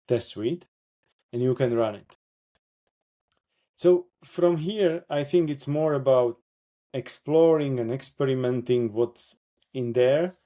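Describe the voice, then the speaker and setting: A man with a Slovak accent, presenting a live software workshop, talking through a demo with many fillers and self-corrections. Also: mid-range voice.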